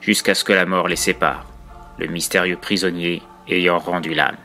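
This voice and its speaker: A tormented narrator with murderous urges recites a dark horror poem, voiced by a man. The voice is low, solemn and measured, with literary phrasing. Music sits faintly underneath. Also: flat delivery. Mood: grave, secretive.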